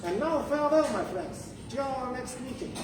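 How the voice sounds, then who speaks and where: A storyteller voicing a young queen, commanding yet gracious, speaking in formal, deliberate proclamations. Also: high-pitched, lively intonation, background noise, voice pushed up. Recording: roomy distant pickup with music behind.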